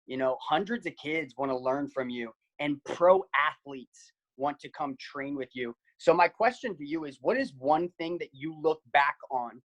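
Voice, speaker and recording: A man co-hosting an interview over a video call, upbeat and energetic, with frequent fillers. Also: on the high side, rough recording. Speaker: podcast co-host.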